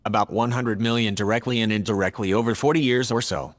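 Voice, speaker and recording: An earnest, logical male AI from a radio play, acted by a man. He reports in a measured, formal, factual manner. The voice is a little low, with a long hall-like reverb trailing it. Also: steady tone, natural voice. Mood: earnest, matter-of-fact. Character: male artificial intelligence, logical, earnest, troubled by humans. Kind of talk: scripted audio drama dialogue.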